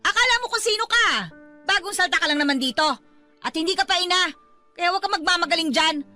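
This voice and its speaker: A woman's voice: extremely high pitch, fast, sharp tone, full character voice. An actress playing a harsh, defensive middle-aged mother in a Filipino radio drama, scolding and dismissive, with faint music underneath. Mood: angry. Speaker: Aling Gloria.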